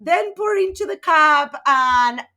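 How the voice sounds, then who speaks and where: A middle-aged woman from Argentina, a podcast guest telling her career story in a chatty, animated way full of fillers. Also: sits high, slow pace. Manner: animated, chatty, uses many fillers like "like" and "right?". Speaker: podcast guest, personal branding coach. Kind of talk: conversational interview storytelling.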